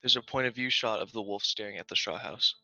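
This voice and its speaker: Guy reading shot directions, low but super sharp voice, muffled call audio. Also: quick pace, dry recording. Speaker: reader of camera directions.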